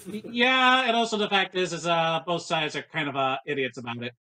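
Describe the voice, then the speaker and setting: A man's voice: a little high, fairly quick, bright, forceful tone. A man playing a tabletop game, talkative and casual, given to joking asides. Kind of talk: casual chat during a game.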